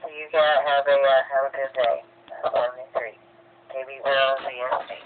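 A man in a casual, halting amateur radio exchange, heard over a radio link. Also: medium pitch, flat delivery, over a noisy crowd. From United States. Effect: radio transmission. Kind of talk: casual ham radio contact. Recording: tinny radio channel, faint noise.

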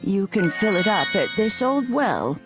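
An old grandmother in a farming game, feisty yet affectionate. She talks slowly and folksily, her pitch swooping up and down. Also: big pitch swings, over music. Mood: gentle, doting. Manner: folksy, doting, hesitant and chuckling. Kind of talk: animated video game voice acting.

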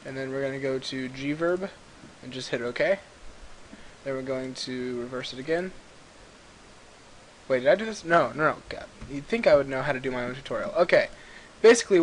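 A man's voice: medium pitch, a little fast, poor audio. Man on a hissy computer mic. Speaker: tutorial host. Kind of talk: casual rambling software tutorial. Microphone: computer mic a little far away. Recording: clearly hissy amateur computer mic recording.

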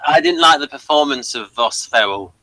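Very bright-voiced man chiming in. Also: medium pitch, expressive, moderate pace, no echo, rough recording. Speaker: podcast co-host. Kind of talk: casual chat.

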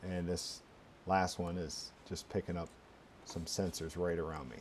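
A man giving a casual, unscripted screencast walkthrough, halting and muttering as he thinks aloud through each step. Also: low voice, flat delivery, slight background noise. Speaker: tutorial presenter.

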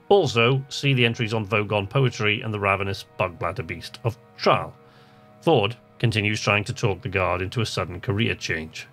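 Guy with a lowish voice playing a game, kinda thinking out loud and reading stuff off, music behind him. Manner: talks to himself, musing, easily amused. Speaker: gamer, video host. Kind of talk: casual gameplay commentary, reading text aloud.

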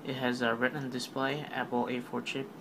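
A man's voice: medium pitch, level tone, a little fast, background noise. A man giving a casual, rambling voice-over recap of a product keynote, full of fillers and offhand remarks. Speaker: tech video narrator.

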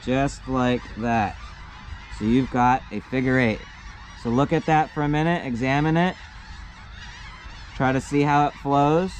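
A young man, a sailing instructor, calmly walking through tying a knot step by step, recorded outdoors on a boat deck. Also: mid-range voice, a little hiss or hum, poor audio. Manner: patient, step-by-step, plain-spoken. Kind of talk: hands-on knot-tying demonstration.

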